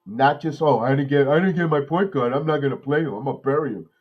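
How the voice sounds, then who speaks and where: A man, nasal from a cold, hosting a watch-along podcast, calling plays with excitement and then rambling through his opinions. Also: medium pitch, fast, muffled recording. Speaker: podcast host doing live play-by-play. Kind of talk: watch-along game commentary and chat.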